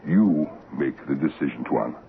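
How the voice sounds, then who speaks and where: A very deep-voiced African man, courteous and patient with something quietly ominous underneath. His English is broken and deferential, delivered in a measured radio-drama manner on a muffled, noisy old broadcast. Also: animated. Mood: polite, deferential. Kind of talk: 1970s radio drama acting.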